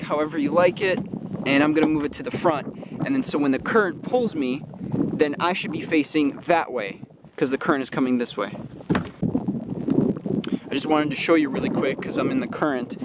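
A man's voice: mid-range voice, background noise. A young man in casual talk explaining a homemade kayak anchor, partly from a kayak on a lake, with fillers and restarts. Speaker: DIY video maker. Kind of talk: instructional voice-over and on-water demo.

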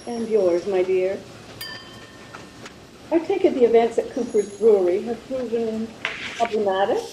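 Older woman as a mellow, prim Edwardian confidante, roomy sound. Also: fairly high pitch, lightly acted. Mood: sympathetic. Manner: genteel, measured period diction. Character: prim, sensible Edwardian lady confidante, gently counseling. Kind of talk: radio mystery play acting. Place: room at home, video call. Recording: roomy, slightly noisy video call.

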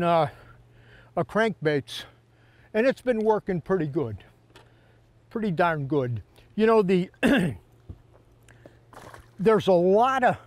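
An older man hosting a fishing show, talking casually in a folksy, emphatic way with repeated phrases, outdoors on a boat. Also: sits high. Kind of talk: casual fishing commentary and lure talk.

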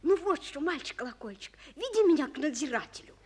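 A plucky little boy with a very high, lively voice in old radio drama style. He speaks up earnestly and with compassion. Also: a little fast. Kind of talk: 1940s radio play acting.

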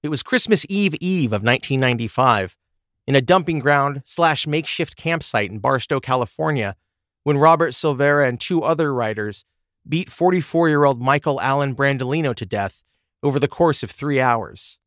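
A man's voice: mid-range voice, animated, normal speed, a bit bright, no echo. Man narrating true crime, close dry sound. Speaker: podcast host.

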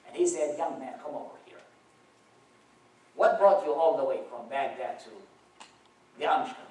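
A man's voice: mid-range voice, lively intonation, moderate pace, a little mellow. A young man from Iraq with an Arabic accent, telling his life story in a church talk with wry, dry humor. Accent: Iraqi Arabic. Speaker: guest speaker. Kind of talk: personal testimony talk. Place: church auditorium. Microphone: far camera across the room. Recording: roomy echo from far off.

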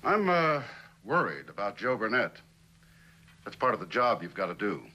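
An actor playing a stern, deliberate middle-aged company boss in a 1960s television drama. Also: male voice, on the low side, big pitch swings, bright, forceful tone, lo-fi sound, heavily acted. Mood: measured, concerned.

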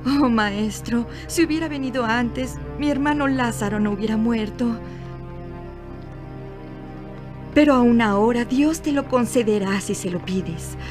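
A woman playing an earnest, devout, grieving sister in a dubbed animated Bible film. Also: a little high, normal speed, hardly any pauses, music in the background, natural voice.

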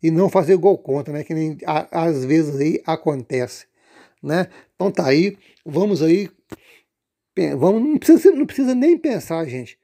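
Man, high voice, warm tone, casual rambling pitch. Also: animated, normal speed, vocal fry. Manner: rambling, full of fillers and restarts. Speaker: lottery pool organizer.

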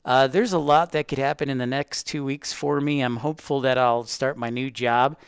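Male educator-host for a casual livestream. Mid-pitched, clean voice, rambling conversational delivery, very little room sound. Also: fairly even intonation, moderate pace, clear, resonant tone, dry recording, studio quality. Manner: chatty, rambling, addressing viewers by name. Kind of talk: casual livestream chat with viewers.

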